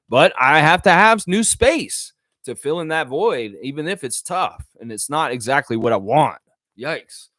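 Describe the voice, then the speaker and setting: A man with a mid-pitched voice hosts a live sports fan show. He chats informally with viewers, thinking out loud as he goes. The sound is dry, with very little room echo. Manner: informal, conversational, thinking aloud. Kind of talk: casual live chat with viewers, reading comments aloud.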